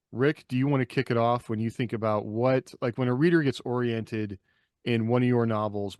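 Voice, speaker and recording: A man's voice: on the low side, clear, resonant tone, dry recording, clean studio sound. A man hosting a podcast in a casual, informal, anecdotal chat style. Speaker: podcast host.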